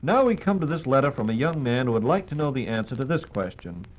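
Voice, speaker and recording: A man's voice: mid-range voice, big pitch swings, fast talker. An American man hosting a 1940s radio program in a polite, formal, scripted announcing style. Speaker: radio host. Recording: dull 1940s radio broadcast recording.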